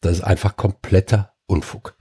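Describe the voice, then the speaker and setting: A man's voice: sits low, level tone, dark tone, breathy edge. Older man with a head cold. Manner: argumentative, pauses with many ums. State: stuffy nose from a cold. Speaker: podcast co-host. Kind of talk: opinionated casual chat.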